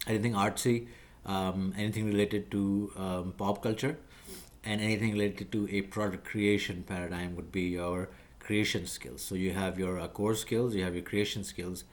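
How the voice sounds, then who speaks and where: Low-pitched man, Urdu first language, measured lecturing delivery with frequent fillers. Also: fairly even intonation. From Pakistan. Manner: measured, lecturing, many fillers and self-corrections. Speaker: video creator explaining job skills. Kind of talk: unscripted talking-head explainer.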